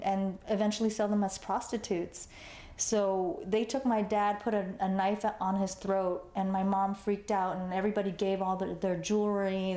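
A woman's voice: medium pitch, mellow, echoey room. A woman in her forties, born in Vietnam, telling her life story in a conversational interview as an artist guest, tagging her sentences with "you know" and "right?". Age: 40s.

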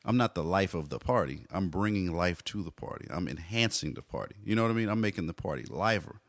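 Deep gravelly laid-back man. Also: expressive, fast talker, dry recording, studio quality. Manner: laid-back storyteller, frequent "you know". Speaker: guest hip hop artist. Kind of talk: casual conversational interview.

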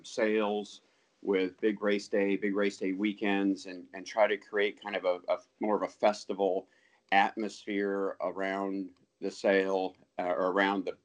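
A man speaking as a guest on a podcast interview, measured and hesitant, with many ums and restarts. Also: on the low side, level tone. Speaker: guest, sales announcer.

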